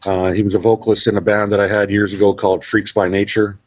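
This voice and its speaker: A man's voice: lowish voice, little pitch movement, fast talker, dry recording. A Canadian man, a podcast guest, chatting casually and rambling with many fillers. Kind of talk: casual interview chat. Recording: dull, dry sound.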